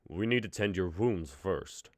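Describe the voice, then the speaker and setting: A man's voice: sits low, expressive, a little slow, darkish tone, dry recording, studio quality. A man reading cautious, practical Dova.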